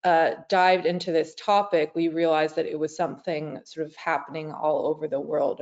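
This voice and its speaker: Raspy woman with a really low voice, research director on a webinar, muffled call audio. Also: a little mellow. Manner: measured, hedging with "sort of". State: raspy voice today. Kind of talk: webinar presentation. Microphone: video call. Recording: dull lo-fi video call audio.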